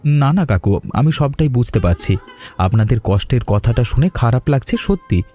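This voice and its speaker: A man's voice: medium pitch, expressive, normal speed, dark tone, soft background music, close to an everyday voice. A man playing a polite, grateful young male traveller from the city in a cartoon dub.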